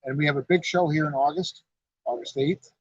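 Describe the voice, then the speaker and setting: A man's voice: on the high side, fairly even intonation, fairly quick, breathy edge, no room sound. A middle-aged man, president of a car club and owner of a garage, chatting in an easy, folksy way during an outdoor interview at an evening car show. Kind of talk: casual on-site interview. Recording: dull lo-fi live stream sound.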